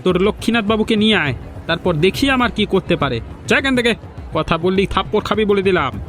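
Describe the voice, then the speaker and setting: A man's voice: high voice, expressive, a little fast, a bit bright. A man voicing a confident, defiant undercover village policeman in a cartoon, with an assured, challenging manner. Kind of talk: cartoon voice acting.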